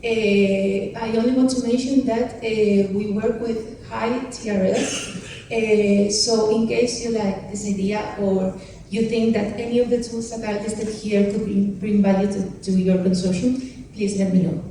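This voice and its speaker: Woman pitching in an echoey hall. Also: steady tone, measured pace. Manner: hesitant, many fillers and restarts. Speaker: conference presenter. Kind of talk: short pitch presentation. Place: conference hall. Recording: echoey hall, mic far off, noisy.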